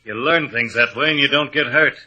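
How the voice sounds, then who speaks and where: A sharp, menacing radio crook. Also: male voice, medium pitch, a little fast, slightly rough, dull audio. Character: suspicious, menacing small-time crook guarding his loot. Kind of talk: 1950s radio drama acting.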